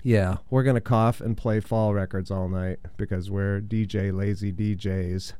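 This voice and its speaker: Man, radio DJ, low voice, congested from a cold, rambling casual chat, dry studio. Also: fairly even intonation, measured pace, dark tone, clean tone, no room sound. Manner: rambling, chatty, with fillers. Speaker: radio DJ host.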